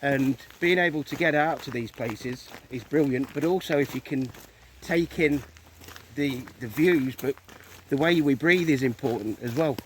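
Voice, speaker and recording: A man's voice: mid-range voice, unhurried, poor audio. A man with an English accent co-hosting a walking vlog, talking casually outdoors. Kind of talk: casual chat. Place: outdoors on a country lane. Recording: outdoor phone recording with clear background noise.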